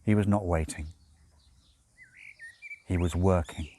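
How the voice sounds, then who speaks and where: A man reading a novel aloud as expressive narration, with measured, dramatic phrasing and deliberate pauses. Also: low voice, slight background noise. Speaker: audiobook reader.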